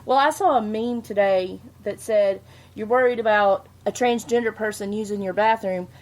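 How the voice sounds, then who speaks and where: A woman's voice: mid-range voice, moderate pace, rough recording. A woman co-hosting a podcast, talking frankly and laughing often. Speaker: podcast co-host. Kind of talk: casual podcast chat.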